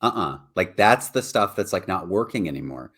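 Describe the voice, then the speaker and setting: A man with a very deep voice co-hosting a podcast, talking earnestly and reflectively, peppering his points with "right?" and "like". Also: lively intonation, moderate pace, a bit bright. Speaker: podcast co-host. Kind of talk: casual earnest discussion.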